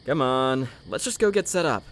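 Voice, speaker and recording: Casting: eager young guy, bright edgy voice, very animated, impatient. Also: mid-range voice, moderate pace, slight background noise. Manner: enthusiastic, impatient. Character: eager, blunt young friend keen on scares. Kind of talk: casual audio drama dialogue.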